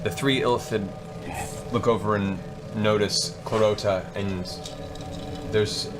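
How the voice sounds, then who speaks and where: Man, low voice, theatrical game master, descriptive narration, background music. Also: steady tone, slow. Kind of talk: dramatic narration of a tabletop game. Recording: music behind.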